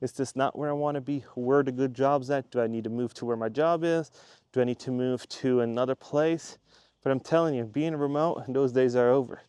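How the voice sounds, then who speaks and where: A man's voice: medium pitch, fairly even intonation, fairly quick, darkish tone, clear, resonant tone, dry recording. A man from Switzerland who runs a YouTube channel, talking casually to the camera in a chatty, rambling way and repeating himself for emphasis. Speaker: YouTube creator.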